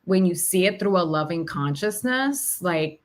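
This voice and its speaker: A woman talking reflectively and casually about personal experience, feeling her way through her thoughts in a halting, searching delivery. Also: fairly low pitch, animated. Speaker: podcast host sharing personal reflections. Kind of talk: reflective, casual spiritual conversation.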